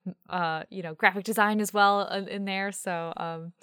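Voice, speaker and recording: A woman's voice: medium pitch, fairly even intonation, moderate pace, a bit bright, clean tone, no room sound, studio quality. A woman chatting casually and enthusiastically as an interview guest. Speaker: interview guest, audio drama creator.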